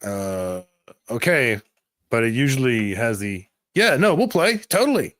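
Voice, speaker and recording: An easygoing young amateur musician, played by a man at a medium pitch with lively swings. He is modest and agreeable, talking casually as a tabletop roleplay character in a close, dry recording. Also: unhurried, no echo. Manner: casual, self-effacing.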